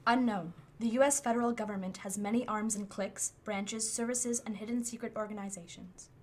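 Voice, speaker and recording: A woman's voice: medium pitch, steady tone, brisk, close to an everyday voice. A woman playing an impersonal machine intelligence in a radio play, reporting findings in a formal, precise, matter-of-fact way. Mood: clinical, matter-of-fact.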